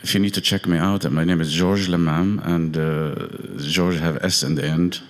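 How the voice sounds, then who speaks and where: A man born in Lebanon, a guest violinist giving short, halting thank-you remarks with many fillers at a congregation gathering. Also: sits low, steady tone. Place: congregation meeting hall.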